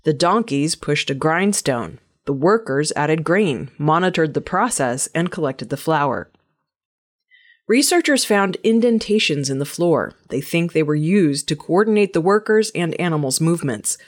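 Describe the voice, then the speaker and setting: Woman, news presenter, lower pitch, scripted narration, measured enunciation. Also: lively intonation.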